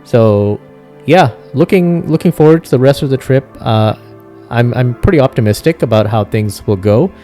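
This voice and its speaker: A man hosting a gaming video in casual, easygoing chat, with a hesitant, halting delivery. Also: mid-range voice, lively intonation, warm tone. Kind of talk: casual roleplay chat while driving in a game. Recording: music behind the voice. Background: music.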